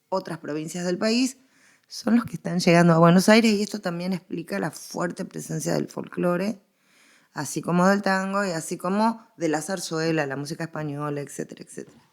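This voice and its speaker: An Argentine woman with a fairly low voice and a lot of vocal fry. She speaks as a guest historian in an interview, explaining things conversationally and repeating phrases for emphasis.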